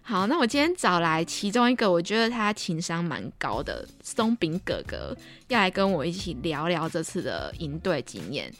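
A woman's voice: on the low side, sing-song, normal speed, a bit bright. A young woman with a Taiwanese Mandarin accent hosting a podcast in a casual, chatty, giggly and self-deprecating way. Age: young adult. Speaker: podcast host. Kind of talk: casual chat. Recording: background music behind the voice. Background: music.

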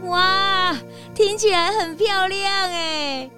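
A woman's voice: very high-pitched, takes it slowly, bright, forceful tone, soft background music, exaggerated voice. A woman voicing a curious, innocent little detective's sidekick in a children's audio drama, cute and wide-eyed. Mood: awed.

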